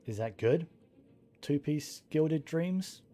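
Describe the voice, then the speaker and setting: A man's voice: on the high side, animated, slow, warm tone. A man giving casual gaming commentary, thinking aloud in a muttering way and swearing casually. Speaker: game streamer. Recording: game music behind the voice. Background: game music.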